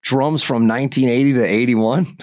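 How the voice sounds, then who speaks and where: A man's voice: medium pitch, fairly even intonation, normal speed, darkish tone, dry recording. Chatty male podcast host, dry sound. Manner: chatty, asks follow-up questions. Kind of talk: casual interview.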